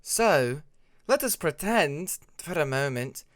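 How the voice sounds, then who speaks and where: A man voicing a puzzled, doubtful female dragon with a deliberate, questioning delivery in a narrated fantasy story. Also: fairly high pitch, expressive, fairly bright tone, dry recording, clean studio sound. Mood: puzzled, skeptical.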